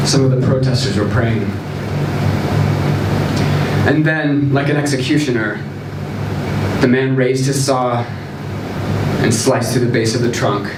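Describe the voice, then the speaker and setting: A man reads a short story aloud at a live event, taking his time with deliberate, dramatic phrasing. His mid-pitched voice is picked up from a distance in an echoey room, with clear background noise. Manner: deliberate, theatrical delivery with dramatic pauses.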